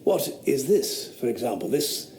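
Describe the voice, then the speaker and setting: A sardonic eighteenth-century thinker in an audio drama. His tone is mellow and dark, and he needles everyone with dry wit. The room sounds roomy, with a faint hiss underneath. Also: medium pitch, very animated, measured pace. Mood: wry. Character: witty, mocking man, a London philosopher. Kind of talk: radio drama acting.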